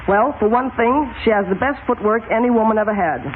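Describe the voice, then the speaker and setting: A woman's voice: on the high side, fast talker. Lady coach talking on an old radio show, tinny with light hiss. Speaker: tennis coach guest. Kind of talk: 1930s radio interview, scripted. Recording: tinny 1930s radio broadcast, faint hiss.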